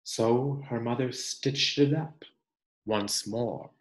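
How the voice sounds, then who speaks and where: A man reading a picture book aloud like a teacher to children, expressive and deliberate, pausing for emphasis and guiding questions. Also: mid-range voice. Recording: echoey room.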